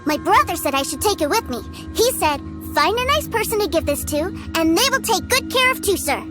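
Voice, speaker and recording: Game child role: cheerful trusting small boy, very high and lively, music behind. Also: brisk. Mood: cheerful, innocent. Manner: earnest, wide-eyed, childlike. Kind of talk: animated video game character acting. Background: background game music.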